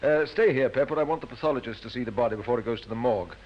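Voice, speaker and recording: Male actor as british police inspector, mid pitch, brisk and in charge, dull old radio sound. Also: lightly acted. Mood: grave. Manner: brisk, authoritative. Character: stern, methodical Scotland Yard police inspector. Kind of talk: 1950s radio drama acting.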